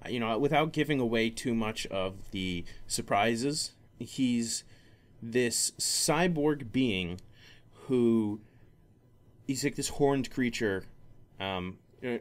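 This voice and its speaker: A young man hosting a solo gaming podcast, rambling casually with many fillers and restarts. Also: fairly low pitch. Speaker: podcast host.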